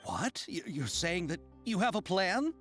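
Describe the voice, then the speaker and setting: Casting: nervous idealistic filmmaker, highish voice, animated, earnest and apologetic, soft music behind. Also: male voice, measured pace, heavily acted. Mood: downcast. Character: anxious, polite, idealistic male film director. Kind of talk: video game voice acting. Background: game music.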